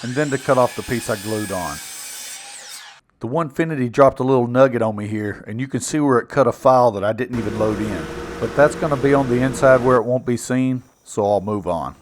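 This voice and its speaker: A man's voice: medium pitch, fairly quick, slight background noise. A man casually narrating his own build project in a plainspoken, folksy, self-deprecating way. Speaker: woodworking video maker and narrator.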